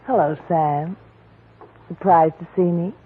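A woman's voice: fairly low pitch, fairly even intonation, slow, phone-quality sound, faint music behind. An actress playing a glamorous woman who pleads with her old flame, a detective, in a 1940s radio drama, with a coaxing, melodramatic delivery. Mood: pleading. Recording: scratchy 1940s radio broadcast.

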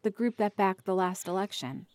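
A woman's voice: mid-range voice, animated, normal speed, darkish tone, natural voice. An anxious, prim wife, played by an actress in an audio drama. Her mid-range female voice moves up and down expressively. She is pious and earnest, excusing herself politely. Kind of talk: audio play acting.

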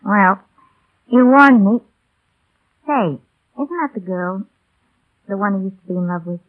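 A woman's voice: medium pitch, animated, a little slow. A wry young dame from forties radio drama. She tosses off slangy wisecracks breezily, and the sound is narrow and tinny like a vintage broadcast. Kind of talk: 1940s radio acting.

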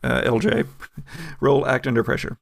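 A man narrating a tabletop game as game master, relaxed and chuckling, with many fillers. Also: mid-range voice, moderate pace, vocal fry, no echo, studio quality.

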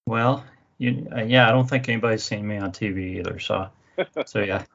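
A man's voice: fairly low pitch, brisk, vocal fry, fairly bright tone. A man hosting a sports podcast, chatting casually and rambling a little as he introduces his guest. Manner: rambling, many fillers and restarts. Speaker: podcast host. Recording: dull lo-fi audio.

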